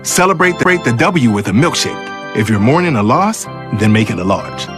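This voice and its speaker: A man's voice: mid-range voice, big pitch swings, normal speed. A man reading a playful scripted radio advertisement as its announcer. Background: music bed.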